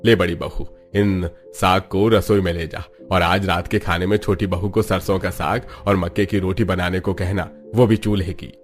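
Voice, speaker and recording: A man playing an older farming father-in-law, the commanding head of the family in an animated story, hearty as he gives orders. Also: low voice, normal speed, hardly any pauses, soft background music, lightly acted. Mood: pleased, commanding.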